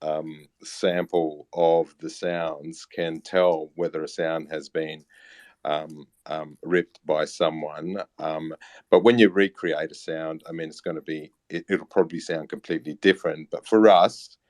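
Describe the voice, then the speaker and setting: A man's voice: rumbling low, fairly even intonation, measured pace, no echo, clean studio sound. A man answering as an interview guest, explaining things off the cuff in a hesitant way. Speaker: guest, company representative.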